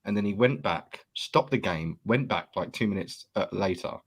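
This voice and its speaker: A man's voice: low voice, husky. A man arguing his point about a refereeing decision as a panelist on a casual football chat. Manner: quick, building an argument point by point.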